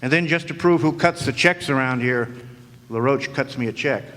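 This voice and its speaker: A man's voice: medium pitch, moderate pace, a bit bright, natural voice. An actor playing a wisecracking hardboiled male private eye, narrating in a dry, sardonic noir radio style on a stage. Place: live stage performance in a hall. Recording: long hall reverb.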